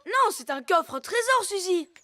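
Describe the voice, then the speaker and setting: Squeaky, slow-talking little boy puppy. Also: sing-song, heavy background noise, heavily acted. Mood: eager, bossy. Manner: childlike, commanding. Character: bossy, eager little boy puppy playing pirates.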